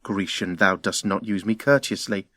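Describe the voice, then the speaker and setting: A man's voice: deep voice, steady tone, moderate pace, sharp tone, dry recording, clean studio sound. A man playing an ardent, jealous young Trojan prince in love, delivering classical verse earnestly in an audio drama. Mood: threatening. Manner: earnest, declamatory.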